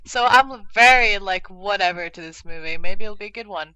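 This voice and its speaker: A woman's voice: medium pitch, normal speed, pushed and bright, clean tone, no echo, low-quality recording. A woman chatting casually and informally as a podcast co-host, speaking her opinions freely. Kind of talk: casual group chat.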